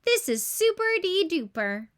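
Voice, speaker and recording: A woman's voice: extremely high pitch, very animated, measured pace, voice pushed up, heavily acted. A woman voicing a cheerful, friendly male dinosaur in a children's storybook, encouraging and exclamatory. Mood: excited.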